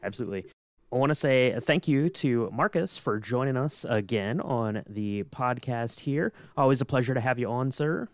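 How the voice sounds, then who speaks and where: A man's voice: mid-range voice, animated, normal speed, no echo. A man hosting a podcast with casual, somewhat hesitant phrasing. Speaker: podcast host.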